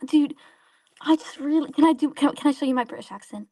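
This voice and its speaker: A woman's voice: high voice, a little fast, creaky, dark tone, no room sound, distant mic. An eighteen-year-old woman, a caller, answering questions playfully and insisting she is sincere. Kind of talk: casual call-in answers.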